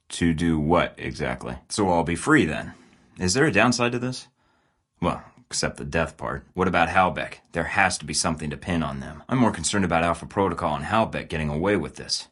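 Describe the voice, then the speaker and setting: A man's voice: rumbling low, fairly bright tone. An actor playing a blunt, sarcastic male spy agent in video game dialogue, with dry, clipped lines.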